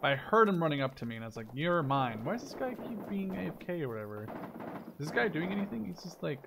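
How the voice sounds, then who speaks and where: A man's voice: fairly high pitch, very animated, fast, vocal fry, background noise. A man giving casual, off-the-cuff commentary while playing a game, informal and sweary as he thinks aloud. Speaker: gameplay commentator. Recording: game gunfire behind the voice. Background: shooter game gunfire and clicks.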